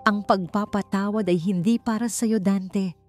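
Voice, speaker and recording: A woman voicing a wounded young mother in a story drama, soft-spoken but firm and resolute. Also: mid-range voice, steady tone, measured pace, voice pushed up, lightly acted. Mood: quiet, resolute. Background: background music.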